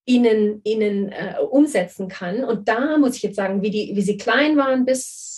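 A woman's voice: a little high, normal speed, darkish tone. A woman in her forties, a native German speaker, talking casually as a guest about raising her children with two languages, with many fillers and restarts. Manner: chatty, many fillers and restarts, agrees often. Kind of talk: casual conversation.

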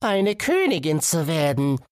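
Man as spiteful storybook daughter, high, slow, whining, envious, reproachful. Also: sing-song, dry recording, clean studio sound. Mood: bitter, envious. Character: ugly, envious, one-eyed witch's daughter, whining.

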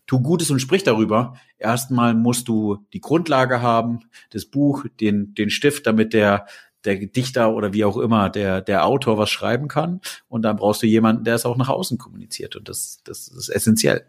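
A man's voice: lowish voice, normal speed. A man hosting a podcast interview, asking loose questions and often rephrasing mid-sentence. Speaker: podcast host.

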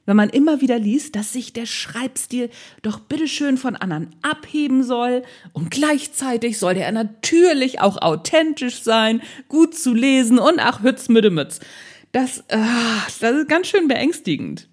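Woman, Northern German accent, slightly high voice, casual tutor, playful and chatty. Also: big pitch swings, measured pace. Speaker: blogging tutor. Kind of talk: casual tutorial addressing the viewer.

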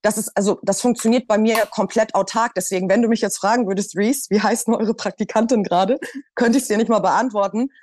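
A woman radio presenter from Hamburg talks in a relaxed roundtable, hammering her points home by repeating them. Her voice sits at an ordinary middle pitch for a woman. The recording is close and dry, with no room sound. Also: fairly quick, no room sound. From Hamburg, Germany. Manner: emphatic, repeats points for stress. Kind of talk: casual roundtable discussion.

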